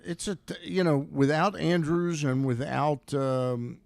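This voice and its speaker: A man co-hosting sports radio, giving casual commentary in a halting way and repeating phrases. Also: on the high side, fairly even intonation, creaky, dry recording.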